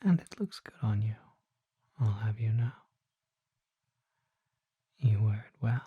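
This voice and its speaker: A performer voicing a courteous, curious vampire apothecary in an ASMR roleplay, soft and often whispering, with genteel, leisurely, musing speech. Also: mid-range voice, lively intonation, takes it slowly, husky, dry recording, phone-quality sound, full character voice.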